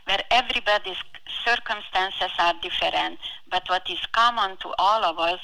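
Bright-voiced woman with a heavy foreign accent. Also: medium pitch, normal speed, vocal fry, a little hiss or hum, poor audio. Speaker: financial advisor, podcast guest.

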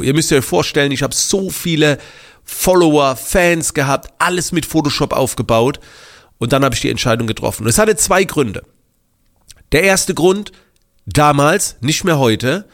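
A man hosting his podcast, slightly congested from a cold, telling a story casually with lots of fillers and restarts. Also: a little high. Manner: animated, many fillers and restarts. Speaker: podcast host.

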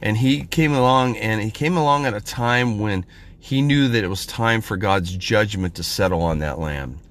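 Hoarse man giving a halting sermon. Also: lowish voice, fairly bright tone. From Central California. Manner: halting, many fillers and restarts. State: hoarse from a head cold. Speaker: podcast minister. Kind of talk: informal spoken sermon.

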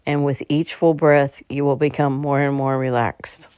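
Monotone meditation guide, muffled sound. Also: medium pitch, smooth, no echo. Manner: calm, halting delivery with stumbles. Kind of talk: slow guided meditation narration. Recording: dull, muffled lo-fi recording.

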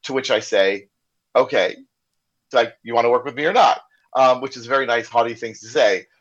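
A man's voice: mid-range voice, a little fast. Candid American man, slightly muffled audio. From United States. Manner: candid, animated storyteller who acts out quoted dialogue. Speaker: corporate trainer and podcast guest. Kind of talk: casual podcast conversation. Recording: slightly dull lo-fi sound.

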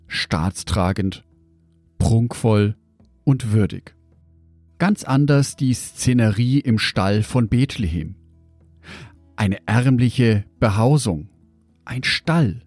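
Male devotional host, unhurried and reflective, mid pitch, close dry recording with faint music. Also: darkish tone, dry recording. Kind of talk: scripted devotional narration.

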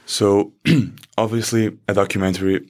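Young man, low voice, halting speech, student interviewee, campus studio. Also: flat delivery, darkish tone. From Portugal. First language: Portuguese. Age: young adult. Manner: halting, restarts phrases, laughs easily. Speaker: student soccer player. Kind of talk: conversational interview answers.

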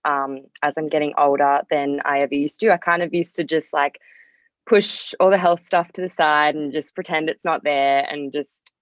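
A young woman talks casually as a podcast guest in a notably low voice. Her phrasing is loose and informal, and the sound is very dry and a little dull. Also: no room sound. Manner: informal, hedging with frequent "like" and "kind of". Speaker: podcast guest, music artist. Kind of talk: casual chat.

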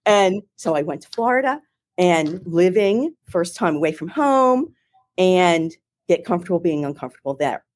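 Middle-aged woman, Philadelphia accent, low-ish pitch, animated chatty storyteller, interview guest on a call. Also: moderate pace, slight background noise. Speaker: marketing executive, guest. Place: home or office in Ohio, remote call.

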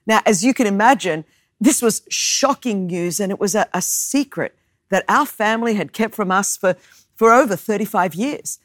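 A woman in her thirties, raised in Australia with Greek as her first language, preaches in an animated, storytelling way with self-mocking humor and emphatic repetition. Her voice sits at a middle pitch for a woman and comes across close and dry, with no room sound around it. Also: dry recording. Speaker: guest preacher. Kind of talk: sermon with personal story.

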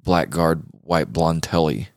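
An American man with a deep voice hosts a casual music podcast. He talks in a laid-back, slangy way, and the sound is dry with very little room on it. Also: slow, gravelly, a little mellow, dry recording. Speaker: podcast host.

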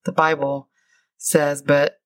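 Low-voiced middle-aged woman teaching. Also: little pitch movement, dry recording. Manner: warm, explanatory, reads definitions slowly. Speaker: podcast co-host, Bible teacher. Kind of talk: casual chat, Bible word study.

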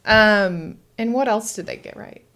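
Female co-host, bright clear-cut tone at medium pitch, conversational, steering a discussion. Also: a little slow. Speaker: co-host asking questions.

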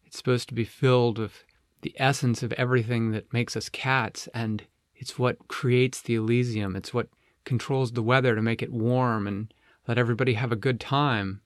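A man voicing an earnest, rather glum and halting male tiger in a children's story. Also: mid-range voice, steady tone, no echo, studio quality, natural voice. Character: downcast, earnest male tiger, cat jokester and guardian.

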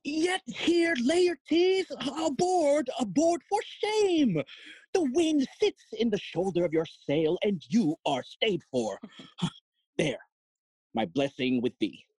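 Husky, long-winded old royal counsellor played by a woman, classical audio drama, very slow and halting, close dry sound. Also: deep voice, lively intonation, a little mellow, dry recording, exaggerated voice. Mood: fond, fussy. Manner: theatrical, halting, chuckling and humming between phrases.

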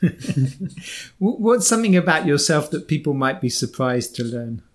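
Male podcast host, fairly high voice, halting delivery, repeats his openings. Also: sing-song. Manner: halting, restarts his questions. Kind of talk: interview questions.

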